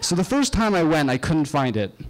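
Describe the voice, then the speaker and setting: A man's voice: a little high, big pitch swings, low-quality recording. Young man telling a rambling story. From Japan. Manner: halting, full of uh and um, chatty and wandering. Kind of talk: casual personal storytelling to an audience.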